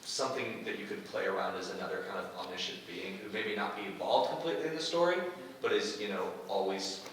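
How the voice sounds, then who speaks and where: A man's voice: on the low side, fast, gravelly, a bit bright. A man offering a suggestion from the audience, explaining it casually and without a script. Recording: echoey room, distant mic, background noise.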